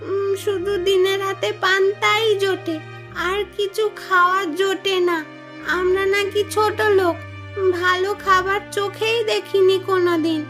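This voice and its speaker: A woman's voice: extremely high pitch, flat delivery, unhurried, music in the background. A woman voicing a poor, innocent little village girl in a cartoon fairy tale, speaking in a childlike, plaintive way. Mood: innocent, wistful. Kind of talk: animated fairy tale dialogue.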